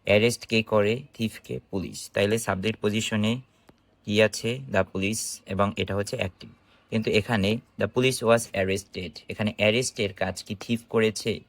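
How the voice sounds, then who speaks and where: A Bangladeshi-accented man speaks as a teacher in an informal online lesson. His voice sits fairly low and is recorded very dry, with no room sound. He explains step by step, often posing a question and answering it himself. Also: steady tone, a little slow, no echo. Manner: explanatory, instructive, asking and answering own questions. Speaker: English grammar teacher.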